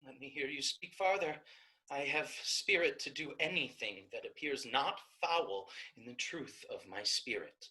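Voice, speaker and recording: A woman's voice: a bass voice, animated, unhurried, bright, forceful tone, full character voice, rough recording. A woman playing a fierce, virtuous young novice sister in a staged Shakespeare reading, emphatic and declamatory. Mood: earnest, resolute.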